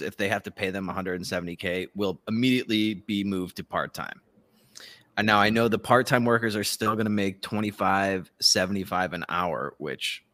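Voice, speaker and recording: A man with a fairly low voice hosts a casual talk podcast. He sounds sardonic and opinionated, swearing freely. The recording is very dry, with no room sound. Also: a bit bright, no room sound. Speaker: podcast host. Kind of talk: casual talk show commentary.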